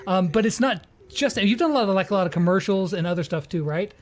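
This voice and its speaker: A man's voice: sits high, expressive, quick pace. A man interviewing guests in a casual, joking, informal way.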